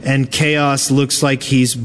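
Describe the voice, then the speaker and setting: A man's voice: medium pitch, level tone, slow, slight background noise. A man hosting a live podcast at a convention, playful and hesitant, often restarting his sentences. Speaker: podcast host. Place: convention floor.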